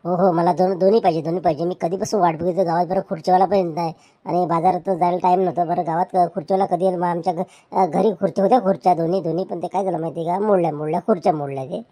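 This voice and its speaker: A woman's voice: lowish voice, fairly even intonation, very fast, mellow, breathy edge. A woman playing a chatty haggling village customer. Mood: eager haggling.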